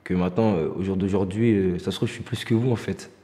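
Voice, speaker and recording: Young man, documentary interview, very low voice, mellow tone, reverberant room. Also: fairly even intonation, quick pace. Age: young adult. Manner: earnest, leans on filler phrases. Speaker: trainee interviewee. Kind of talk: documentary interview, personal testimony.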